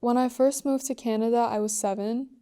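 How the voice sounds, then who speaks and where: A teenage girl from Iran answering interview questions in an earnest, soft-spoken way, with frequent "like" and "um" fillers. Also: a little high, fairly even intonation, brisk. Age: teens.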